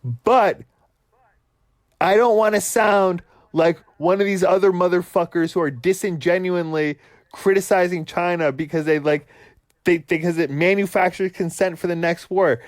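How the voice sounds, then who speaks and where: A man co-hosting a sports talk podcast who argues heatedly and swears constantly, ranting at his brother with forceful conviction. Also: high-pitched, steady tone, normal speed, no room sound. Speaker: podcast co-host.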